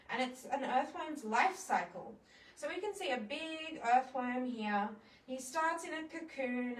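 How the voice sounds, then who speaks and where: A woman presenting a children's storytime, explaining things simply and putting questions to young viewers. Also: sits high. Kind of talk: children's storytime with songs and read-alouds. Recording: roomy echo, distant microphone.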